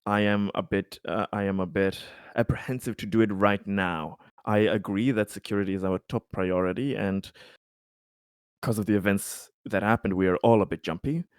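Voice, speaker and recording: A man playing a cautious, budget-minded colony council member in a tabletop game, speaking haltingly and deliberately. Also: lowish voice, steady tone, clean tone, no echo, clean studio sound, lightly acted. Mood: measured, apprehensive. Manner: hesitant, halting, deliberative delivery. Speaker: player in a tabletop roleplaying game. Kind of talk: improvised in-character tabletop roleplay.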